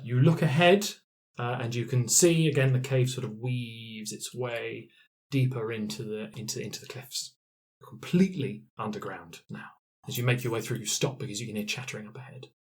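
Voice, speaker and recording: Game master narrating a fantasy tabletop game, mid pitched, kinda hushed and atmospheric. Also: normal speed.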